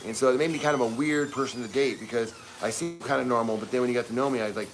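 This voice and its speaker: A man's voice: medium pitch, very fast, music in the background, rough recording. A man in his fifties chatting casually on a livestream from his home kitchen, rambling with many restarts. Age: 50s. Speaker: livestream co-host.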